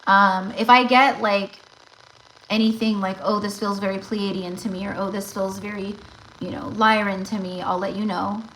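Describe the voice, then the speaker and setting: A woman hosting a casual tarot livestream, chatty and rambling, talking straight to her viewers, with her voice going slightly raspy. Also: mid-range voice, steady tone, normal speed, fairly bright tone, a little hiss or hum, poor audio.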